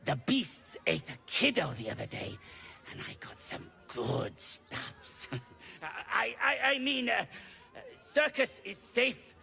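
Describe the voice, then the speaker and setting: An actor voicing a simple, sly, eager creature peddler in a fantasy game, gravelly, with halting childlike broken speech. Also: male voice, sits high, huge pitch swings, bright, forceful tone, heavily acted. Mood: sly, nervous. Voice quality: creaky, gravelly. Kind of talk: cartoonish fantasy game voice acting.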